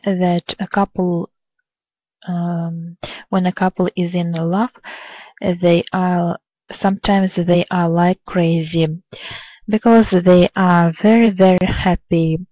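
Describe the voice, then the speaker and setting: Female English learner from Russia, low flat slow hesitant delivery. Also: no room sound, phone-quality sound. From Russia, Tatarstan. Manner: hesitant learner speech with restarts. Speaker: student in an online English class.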